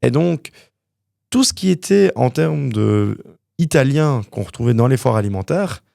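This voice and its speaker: Young man, gravelly voice, dry close sound, lively storyteller. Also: medium pitch, no echo. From Canada. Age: young adult. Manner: animated, fast storytelling with many fillers. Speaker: guest entrepreneur. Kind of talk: casual interview storytelling.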